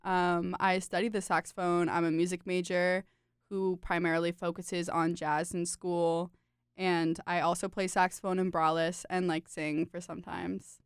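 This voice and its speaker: A woman's voice: lowish voice, flat delivery, moderate pace, smooth, dry recording, clean studio sound. A young woman from Connecticut, a student saxophonist, chatting casually in an interview. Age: young adult. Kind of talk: casual chat.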